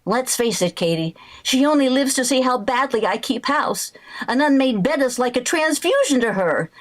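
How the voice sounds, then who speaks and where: A woman playing an excitable, scheming, flighty housewife in a 1940s-style radio comedy, theatrical and full of exclamations. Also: high voice, moderate pace. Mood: exasperated. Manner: theatrical, exclamatory, mock-innocent. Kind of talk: 1940s radio sitcom acting.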